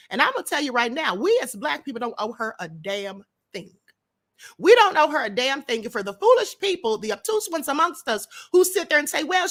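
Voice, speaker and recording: A woman's voice: high-pitched, pushed and bright. A middle-aged woman hosting her own livestream, giving opinionated commentary and firing rhetorical questions at her audience. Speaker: livestream show host. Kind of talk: opinionated commentary to a live chat.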